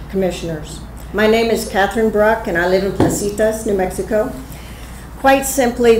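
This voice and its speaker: A woman reads her prepared statement to the commissioners, firm and measured. The meeting room gives her voice a roomy echo. Faint noise hangs in the background. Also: medium pitch, a little mellow. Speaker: resident giving public comment.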